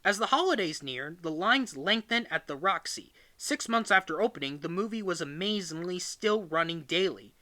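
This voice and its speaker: A man reading aloud a scripted history piece in an expressive, emphatic storytelling manner. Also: high voice, normal speed, few pauses. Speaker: reader of a book excerpt. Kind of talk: scripted read-aloud narration of a magazine-style history.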